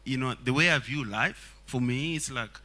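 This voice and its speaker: A man from Congo speaks English as a later language, earnest and thoughtful, filling pauses with "you know" and "like." His voice is bright and forward at a middling pitch. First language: Swahili. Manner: earnest, reflective, many fillers. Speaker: songwriter. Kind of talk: casual panel talk.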